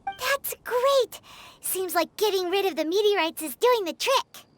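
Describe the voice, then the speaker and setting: A woman voicing a perky, childlike little fairy girl companion in a video game, chatty, blunt and exclamatory with a squeaky tone. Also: animated, unhurried, a bit bright, exaggerated voice. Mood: excited, upbeat. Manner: exclamatory, refers to self by name.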